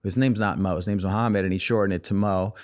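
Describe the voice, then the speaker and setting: A man's voice: low-pitched, fairly even intonation, quick pace. A man talking as a podcast guest in a casual interview, rambling with lots of "like" and "you know what I'm saying". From United States, Israeli family. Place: podcast studio.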